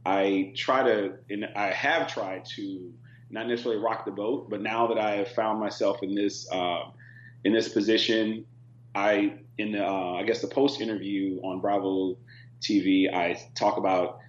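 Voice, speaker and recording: A man guest on a talk panel, speaking in an earnest, thoughtful and measured way. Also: fairly low pitch, fairly even intonation. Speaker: reality show cast member, guest. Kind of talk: casual panel chat. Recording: dull echoey remote audio with hum.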